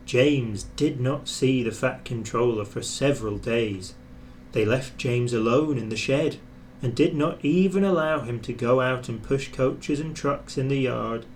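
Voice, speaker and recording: Unhurried man reading a children's story. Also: mid-range voice, darkish tone, a little hiss or hum. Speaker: storybook reader. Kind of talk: expressive scripted children's story narration.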